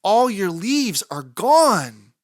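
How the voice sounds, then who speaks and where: A woman's voice: medium pitch, big pitch swings, drawn-out words, a little mellow, dry recording, clean studio sound. A woman voicing an earnest, anxious, caring young male fox in a storybook, childlike and emphatic. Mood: sorry.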